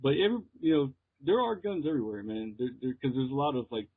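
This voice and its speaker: An American man, heard through a thin, phone-like connection, talks in a casual interview. He speaks in a halting, hesitant way, feeling his way through each answer. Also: mid-range voice, sing-song. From Texas, United States. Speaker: interviewed metal musician. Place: remote call. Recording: muffled, tinny phone line.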